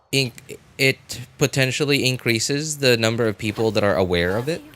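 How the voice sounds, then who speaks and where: A man's voice: lowish voice, fairly bright tone. A man chatting casually as a game streamer, thinking aloud in a rambling, repetitive way. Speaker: game livestreamer. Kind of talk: casual livestream chat.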